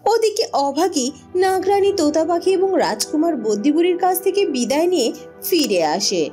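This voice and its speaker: A woman's voice: very high voice, lively intonation, normal speed, mellow, soft background music. A woman giving scripted narration for a cartoon tale.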